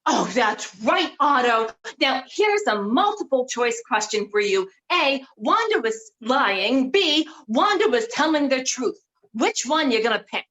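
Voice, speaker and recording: Woman acting scornful scheming female, high voice with big swoops, deliberate pace, staged comedy. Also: very animated, fairly bright tone, heavily acted, poor audio. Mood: exasperated, scornful. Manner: condescending, emphatic. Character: exasperated, scornful, scheming woman berating her dim partner.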